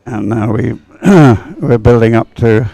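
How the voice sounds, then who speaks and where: A man's voice: medium pitch, little pitch movement, slow, creaky, dark tone, no room sound, highs cut off. A man giving unscripted live sports commentary as a race commentator, rambling a little and thinking aloud.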